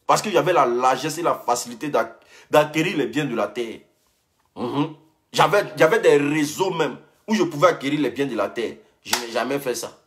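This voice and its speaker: A man's voice: mid-range voice, animated, moderate pace, a bit bright. A young man, ranting heatedly on a live stream and repeating himself as he answers a rival. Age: young adult. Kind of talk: casual live-stream rant.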